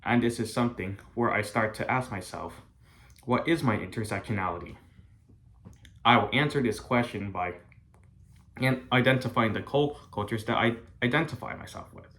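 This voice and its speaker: A young man from the United States giving a prepared class speech in an earnest, careful, halting way. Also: medium pitch, fairly bright tone, slight background noise. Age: young adult. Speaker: college student.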